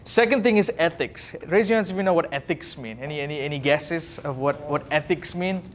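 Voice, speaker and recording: A man's voice: on the high side, brisk. A man from Sri Lanka teaching as a youth pastor in a casual, question-driven way, explaining things informally. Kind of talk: casual interactive teaching talk.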